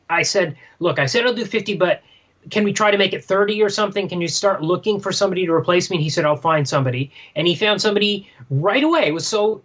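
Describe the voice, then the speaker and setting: Male podcast co-host, fairly high voice, halting casual storyteller, dull lo-fi recording. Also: a bit bright. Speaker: podcast co-host and manga translator. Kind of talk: casual chat, telling an anecdote.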